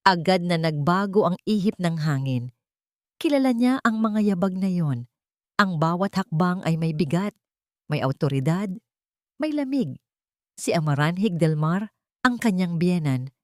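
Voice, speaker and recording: A woman's voice: lowish voice, darkish tone. A woman reading a scripted story as a dramatic narrator.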